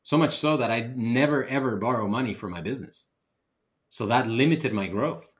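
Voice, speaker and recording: A man's voice: mid-range voice, normal speed. A middle-aged man from Ecuador, a native Spanish speaker, telling his personal story as a podcast guest. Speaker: podcast guest, real estate investor.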